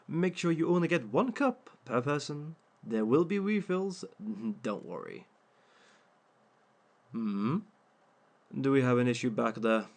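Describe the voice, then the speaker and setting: A man's voice: mid-range voice, sing-song. A man playing a smug male villain who hosts a dating workshop, speaking in a dry, teasing way to an unseen audience. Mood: welcoming. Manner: dry, teasing, unhurried, talks to unseen listeners. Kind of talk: audio roleplay monologue.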